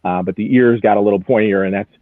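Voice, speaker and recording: A man's voice: lowish voice, steady tone, fast, no echo. A man giving a conversational, enthusiastic expert commentary as he previews collectible items on a live show. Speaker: auction house executive as guest expert. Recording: narrow, phone-like radio-style sound.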